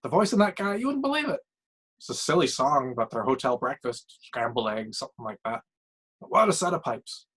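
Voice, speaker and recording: A man's voice: mid-range voice, very animated, fairly quick, a bit bright, breathy edge. A man voicing a blustery, opinionated former coach doing hockey commentary for a story reading, in emphatic, slangy bursts. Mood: opinionated, admiring. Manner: ranting, emphatic, slangy short sentences. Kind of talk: acted sports commentary in a short story reading.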